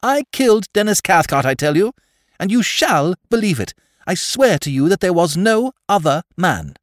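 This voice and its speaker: A man's voice: medium pitch, expressive, normal speed, no room sound, close to an everyday voice. A man voicing a young aristocratic woman who is earnest, anguished and stubbornly insistent, in an audiobook novel. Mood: defiant. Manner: grave, earnest, formal phrasing.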